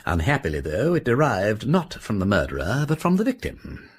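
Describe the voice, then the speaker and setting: An actor in a radio drama playing a genial, witty gentleman detective with an upper-class English accent, breezy and given to musing aloud. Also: on the low side, wildly swooping pitch, normal speed, husky, full character voice. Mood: conversational. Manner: breezy, whimsical, musing aloud, old-fashioned society slang.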